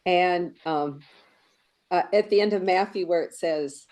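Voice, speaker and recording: Slow-speaking woman in a Bible study. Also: fairly low pitch, a little mellow. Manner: hesitant, thinking aloud. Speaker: study group member. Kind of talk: casual group discussion.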